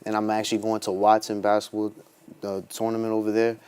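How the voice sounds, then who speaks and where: Young man, clear smooth voice, casual. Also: lowish voice, monotone, fast talker, darkish tone. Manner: informal, street-casual, frequent "like" and "you know". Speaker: interview guest, small business owner. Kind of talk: casual chat.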